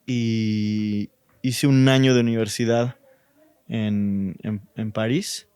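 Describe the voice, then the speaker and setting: Smooth-voiced Mexican man, relaxed. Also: fairly low pitch, slow pace, dry recording. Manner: thoughtful, many fillers like "este" and "o sea". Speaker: designer guest. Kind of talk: relaxed conversational interview.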